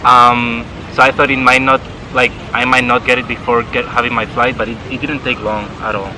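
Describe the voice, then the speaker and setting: A man's voice: medium pitch, little pitch movement, brisk. A young man from Spain, an exchange student chatting casually and filling his talk with "like". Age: young adult. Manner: chatty, many "like" fillers. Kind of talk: casual chat. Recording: outdoor traffic and boat noise.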